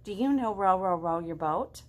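A woman in her thirties reading aloud to young children as a storytime host, expressive and full of questions to draw them in. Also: medium pitch, normal speed. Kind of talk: animated picture-book read-aloud for young children. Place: living room at home.

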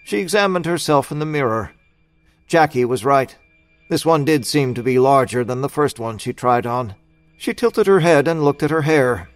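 A man's voice: mid-range voice, lively intonation, moderate pace, no room sound, faint music behind. A man narrating a scripted story in an audio drama.

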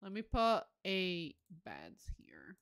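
Woman streamer with lively, swooping intonation. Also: lowish voice, slow pace. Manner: musing aloud, enthusiastic exclamations. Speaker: livestream host. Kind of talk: casual game commentary.

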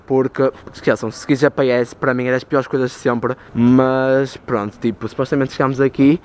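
A man's voice: medium pitch, little pitch movement, moderate pace, a little mellow. A young man in his twenties with a European Portuguese accent, chatting casually to a camera held at arm's length while touring a city, rambling and full of fillers. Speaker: travel vlogger. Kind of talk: casual travel vlog. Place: outdoors in city streets and inside a stone cathedral and a big store. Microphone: handheld camera at arm's length. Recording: city street noise behind.

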